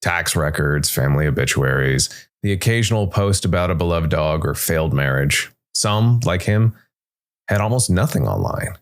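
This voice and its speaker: A man reads scripted dark fantasy narration in a measured way, with dramatic pauses between short phrases. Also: low voice, animated. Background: background music.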